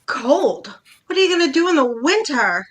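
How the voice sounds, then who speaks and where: A woman's voice: high voice, big pitch swings, normal speed, a bit bright. A woman playing a needy, touchy wife who nags dramatically in a staged play reading about domestic life. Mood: irritated. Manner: nagging, dramatic, aggrieved.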